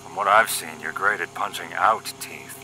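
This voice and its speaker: Male actor as cocky young special agent, very bright forceful voice, lowish pitch, flippant banter, audio drama. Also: steady tone, moderate pace, slight background noise, rough recording. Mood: musing. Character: wisecracking, cocky young male paramilitary agent, teasing optimist. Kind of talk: scripted audio drama dialogue.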